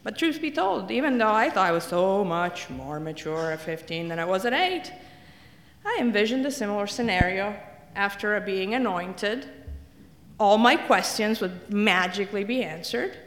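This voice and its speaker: A woman's voice: mid-range voice, lively intonation, normal speed, a bit bright. A woman from Italy, telling wry, self-deprecating stories as a speaker in a church. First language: Italian. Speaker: guest speaker. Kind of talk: humorous personal testimony talk. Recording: echoey hall reverb.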